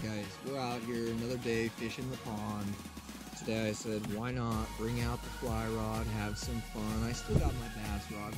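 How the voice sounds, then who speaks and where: A young man chats to his boat-mounted camera while fishing a pond outdoors. His voice sits a little low and his talk wanders, full of fillers and restarts. Loud music runs under the outdoor recording. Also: low-quality recording. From California. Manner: laid-back, rambling, lots of fillers. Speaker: fishing vlogger. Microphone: action camera mounted on the boat.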